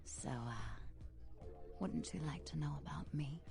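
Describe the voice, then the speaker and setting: Low, sultry vampire seductress. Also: female voice, slow pace, darkish tone, whispered, faint music behind, full character voice. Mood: confiding, coy. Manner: breathy, teasing, lingering delivery. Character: seductive female vampire nightclub owner, sultry and melancholic. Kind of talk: video game voice acting.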